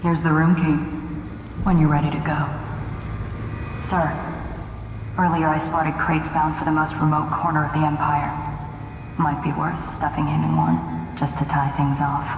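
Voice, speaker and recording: A blunt assistant killer with a low, flat, gravelly voice. She talks dry and matter-of-fact. Her voice rings in a reverberant space with clear background noise. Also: measured pace, long pauses. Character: blunt young woman assassin, pragmatic lieutenant.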